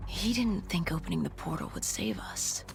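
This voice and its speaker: Haunted, low-voiced female soldier. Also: very animated, normal speed, gravelly, heavily acted. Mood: bitter, uneasy. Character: haunted female soldier recounting a zombie apocalypse. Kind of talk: dramatic first-person story narration. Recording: music behind the voice.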